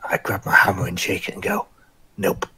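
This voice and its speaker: A man playing a tabletop game, talking in a hushed, whispery way. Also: sits low, flat delivery, quick pace, a crowd in the background. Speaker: player. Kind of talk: in-character tabletop roleplay.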